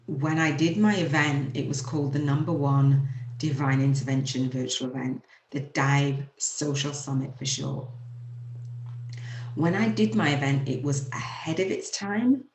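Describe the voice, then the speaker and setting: A woman with a Leeds Yorkshire accent, hosting her own show and earnestly telling her personal story, laughing now and then through emotional moments. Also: sits low. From England, UK. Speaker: show host. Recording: echoey room.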